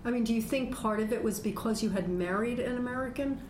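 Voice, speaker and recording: Woman co-interviewer, bright higher pitch, distant and echoey. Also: fast, background noise. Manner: curious, probing questions. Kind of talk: interview questions.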